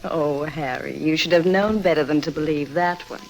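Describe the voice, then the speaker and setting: Poised, knowing heiress, radio drama. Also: female voice, sits low, moderate pace, very noisy. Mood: amused, knowing. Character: poised, worldly, knowing young daughter of a tycoon. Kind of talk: mid-century radio drama acting. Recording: vintage radio drama recording.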